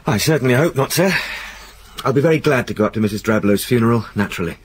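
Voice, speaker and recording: An actor playing a polite, deferential young junior solicitor in a radio drama. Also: male voice, mid-range voice, animated, fast talker, close to an everyday voice. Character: deferential young male clerk, eager, polite. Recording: old radio broadcast, faint hiss.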